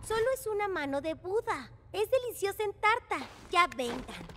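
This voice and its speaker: A woman's voice: very high voice, sing-song, moderate pace, a bit bright, a little hiss or hum, heavily acted. A woman voicing a level-headed, skeptical and slightly bossy young girl in a children's cartoon. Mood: skeptical, calm.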